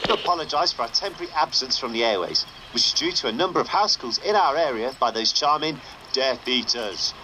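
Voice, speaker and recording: An actor playing a wry underground radio presenter in a game, with a dry, announcer-like delivery. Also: male voice, mid-range voice, lively intonation, fairly quick, background noise, natural voice. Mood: wry, upbeat. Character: wry underground radio show host, a man. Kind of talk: broadcast radio presenting, scripted game dialogue.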